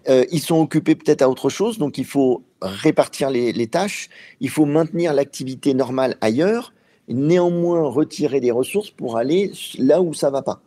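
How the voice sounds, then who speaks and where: A man's voice: medium pitch, expressive, moderate pace, mellow, breathy edge. A man speaking as an expert in an interview, explaining step by step with frequent hesitations and fillers. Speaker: expert guest.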